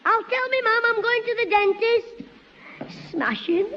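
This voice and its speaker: An actor playing a cheeky, boastful young schoolboy, in 1960s radio comedy. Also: male voice, very high-pitched. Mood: scheming. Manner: quick-witted, mischievous, punchline delivery. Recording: dull 1960s radio recording with hall reverb.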